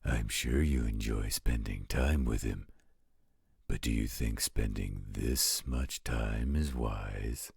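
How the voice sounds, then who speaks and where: Man acting a protective werewolf father figure, extremely low pitch, husky rasp, slow earnest delivery, audio roleplay. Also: darkish tone, no room sound, studio quality, exaggerated voice. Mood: concerned, protective. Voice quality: very deep, gravelly, husky. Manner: slow, measured, careful explaining. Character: overprotective male werewolf guardian, earnest and fatherly.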